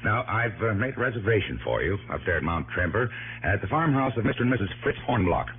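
A man's voice: fairly low pitch, big pitch swings, fast talker, phone-quality sound. An actor playing an earnest, worried businessman in a 1960s radio drama, speaking persuasively with slight hesitation. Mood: concerned. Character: earnest, worried businesslike man enlisting an investigator. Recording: thin vintage radio, faint noise.

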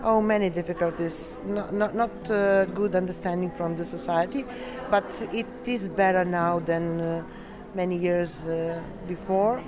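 A woman from Serbia with a Serbian accent, answering interview questions in halting, non-native English as a delegate. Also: fairly low pitch, fairly even intonation, music in the background. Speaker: coeliac association delegate being interviewed.

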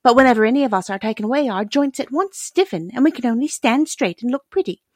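A woman's voice: a little high, expressive, fast, dry recording, studio quality, voice pushed up. A woman voicing a fragile young china doll princess with a small, prim, proper voice in a storybook reading. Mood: gentle, earnest. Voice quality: small, frightened little voice.

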